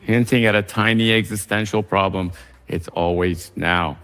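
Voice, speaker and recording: An Iranian-American man reading a scripted reflective essay in a measured way. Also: deep voice, steady tone, fairly bright tone, in a large hall, soft background music.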